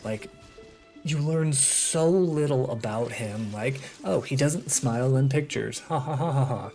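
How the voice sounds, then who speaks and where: Streamer chatting over game music, mid pitch, halting n rambly, kinda sarcastic, takes their time. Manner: rambling, halting, thinking out loud. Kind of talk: casual live gameplay commentary.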